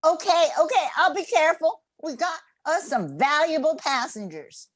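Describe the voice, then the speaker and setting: A high, animated voice, dry and close. An older woman plays an eager bayou huntress in a radio drama, folksy and excitable, a woman always raring to go. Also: moderate pace, dry recording. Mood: reassuring. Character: excitable bayou woman, shotgun-toting swamp rat hunter. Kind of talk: radio play acting.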